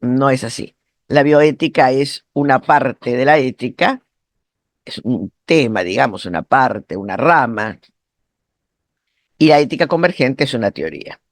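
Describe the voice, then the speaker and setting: A man giving an academic seminar lecture in a halting, didactic way, his throat sore from allergy. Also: medium pitch, lively intonation, no echo. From Argentina. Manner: didactic, halting, often rephrasing and checking understanding. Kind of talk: academic lecture, spoken off the cuff.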